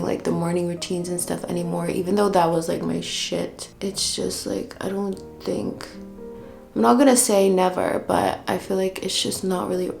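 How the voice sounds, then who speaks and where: A woman's voice: fairly low pitch, gravelly, a little mellow. A young Dutch-born woman in her twenties with an American-sounding accent, chatting casually while doing her makeup, using "like" often. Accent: American-sounding English. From Netherlands. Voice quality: slangy, says "like" often. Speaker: beauty vlogger. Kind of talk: casual get-ready-with-me chat.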